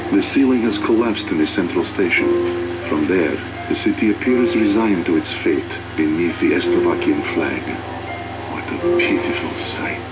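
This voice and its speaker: An actor playing a world-weary air force intelligence officer, narrating a video game cutscene in a measured, formal way. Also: male voice, sits low, lightly acted, rough recording. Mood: somber, reflective. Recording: loud music behind the voice. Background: loud music.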